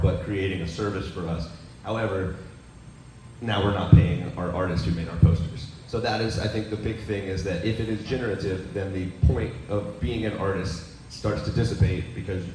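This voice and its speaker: A man's voice: fairly low pitch, darkish tone. Man in the audience, rambles thoughtfully, heard from across an echoey room. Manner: rambling, thoughtful, many fillers. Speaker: audience member giving feedback. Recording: very echoey room, distant mic, faint noise.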